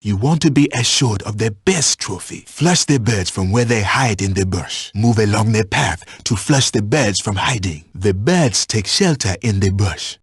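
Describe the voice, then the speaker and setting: A watchful safari tracker guiding a hunt in a video game. His voice is very husky and fairly low, recorded dry and close. He instructs in formal, measured wording with an animated rise and fall. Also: no room sound, full character voice. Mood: calm, instructive. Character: male African safari tracker, watchful, instructive hunting guide. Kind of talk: scripted video game voice lines.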